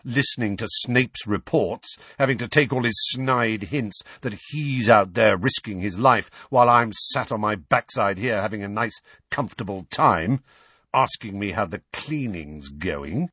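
Guy doing a bitter sarcastic godfather, husky, swingy intonation, audiobook reading. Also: lowish voice, expressive, moderate pace, a bit bright, no echo, studio quality. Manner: sarcastic, drily complaining. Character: bitter, restless grown man, sardonic godfather.

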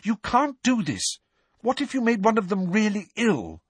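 A bossy, righteous teenage schoolgirl acted out by a man scolds in clipped, forceful phrases. The voice is pitched high, husky and very animated, as in a storybook reading. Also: moderate pace, no room sound. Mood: stern, furious.

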